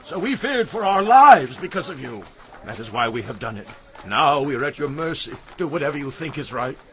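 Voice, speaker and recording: A man's voice: fairly high pitch, big pitch swings, brisk, bright, forceful tone. A man playing a wary, pleading ancient envoy in a dramatized audio Bible, with earnest, theatrical storytelling. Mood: fearful, submissive.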